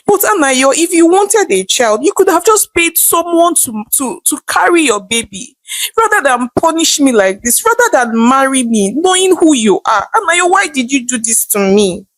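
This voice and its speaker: A woman's voice: high-pitched, big pitch swings, moderate pace, fairly bright tone, no room sound, pitched above the performer's own voice. A woman voicing a distressed, wronged young Nigerian wife in a told story, pleading and reproachful. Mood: hurt, accusing.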